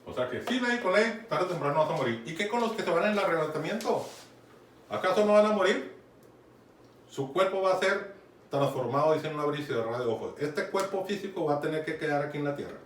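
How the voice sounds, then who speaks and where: A man's voice: a little high, animated, brisk. A man with a Mexican accent preaching a conversational Bible sermon, often checking in with his listeners. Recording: echoey room, from far off, faint noise.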